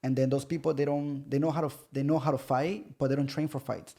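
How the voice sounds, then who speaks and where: A man, a fighter guest on a podcast, telling stories in a loose, animated way and acting out the voices of the people he quotes. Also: mid-range voice, quick pace. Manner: loose, repetitive phrasing with many restarts. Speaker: pro MMA fighter guest. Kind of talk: casual podcast chat.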